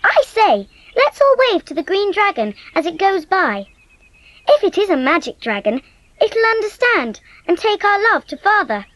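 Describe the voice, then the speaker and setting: An imaginative young British girl in a storybook dramatisation. Her voice is extremely high and lilts up and down. She sounds earnest, eager and full of wonder. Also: sing-song, normal speed, a bit bright, dry recording, full character voice. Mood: earnest, hopeful.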